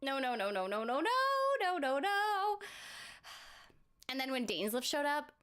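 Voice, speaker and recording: Woman streamer, unhurried but swooping, lively delivery, gushing reactions. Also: sits high. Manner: effusive, gushing, exclaims and laughs often. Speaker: game streamer and voice actress. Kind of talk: emotional live reaction while gaming.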